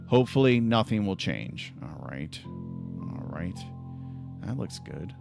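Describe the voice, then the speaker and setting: Lively rambling man streaming from home. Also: low-pitched, slow, gravelly, music in the background. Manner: rambling, restless, full of restarts and "like". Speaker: livestream host. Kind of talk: casual livestream chat. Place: at home on a laptop.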